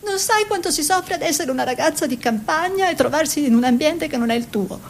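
A woman's voice: sits high, animated, a little fast. An actress playing a proud, anxious painter's wife and mother, once a peasant model, in an emotive, hesitant radio drama. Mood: agitated, protective. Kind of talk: intimate theatrical radio drama, reminiscing dialogue.

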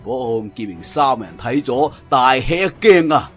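A man's voice: medium pitch, animated, measured pace, faint music behind. A man with a Cantonese accent telling a martial arts story in a dramatic traditional style, with conversational asides.

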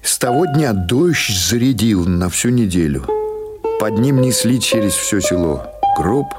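A man reading literary prose as an audiobook narrator, in a measured, dramatic storytelling manner. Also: fairly low pitch, sing-song, over loud music.

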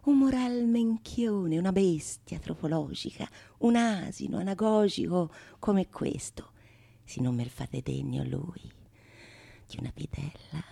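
A woman's voice: lowish voice, lively intonation, unhurried, creaky, husky, exaggerated voice. An actress playing a mischievous prologue narrator in a theatrical monologue, teasing and declaiming to her audience. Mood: teasing, mocking. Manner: teasing, declamatory, swinging from whispers to shouts. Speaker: stage actress. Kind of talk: theatrical monologue in archaic literary Italian.

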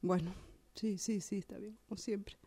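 A woman's voice: medium pitch, steady tone, moderate pace, warm tone, slightly rough. A woman with an Argentine Rioplatense accent playing a hurt, resentful partner on the phone in a radio drama.

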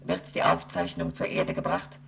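A gravelly, very low station computer in a radio play, measured and mechanical. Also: female voice, very animated, slight background noise, exaggerated voice. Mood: flat. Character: flat, monotone female space station computer.

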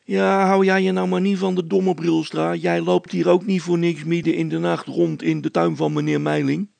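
Man voicing a high-pitched cocky poacher boy. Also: level tone, normal speed, creaky, a little mellow, no room sound. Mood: defiant. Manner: cheeky, stubborn, boastful, colloquial.

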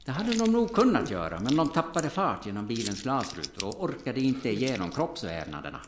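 A man playing a gruff, solemn, seasoned hunter who instructs and commands in an audio drama. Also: medium pitch, sing-song, roomy sound, noisy background, lightly acted. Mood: commanding, impatient.

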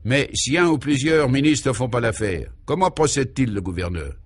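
A man's voice: medium pitch, lively intonation, moderate pace, pitched above the performer's own voice. A man voicing a curious, sceptical adventurer who questions his guide warily in a comic radio serial. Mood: puzzled, sceptical. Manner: questioning, wary.

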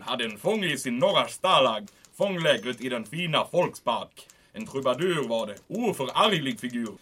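A man's voice: medium pitch, fairly bright tone, slightly rough. En man som spelar en självgod, hånfull tysk ockupationsmajor med låtsad tysk brytning. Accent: mock German. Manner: mocking, gloating, theatrical. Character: smug scheming German occupation major, male. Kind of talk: audio drama acting.

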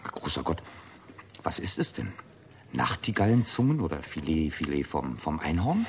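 An actor playing a polite, curious employee in a radio drama, hesitant and eager to please. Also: male voice, a bass voice, sing-song, moderate pace, a little mellow, a little hiss or hum, exaggerated voice. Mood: delighted. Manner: hesitant, deferential, exclaiming. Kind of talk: German radio drama acting.

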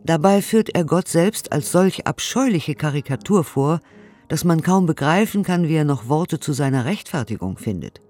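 A man's voice: fairly high pitch, expressive, moderate pace, a little mellow, faint music behind. A male actor playing a disapproving, pompous and pious bourgeois critic, reading out his verdict in a radio play. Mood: stern disapproval.

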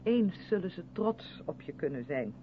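A gushing, over-polite woman in a radio drama, quick to defend herself and faintly condescending. Her voice swings lively up and down. It sounds thin like a phone line, with a loud engine hum behind it. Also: on the low side. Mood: gushing, uneasy. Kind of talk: radio play dialogue in a moving car.

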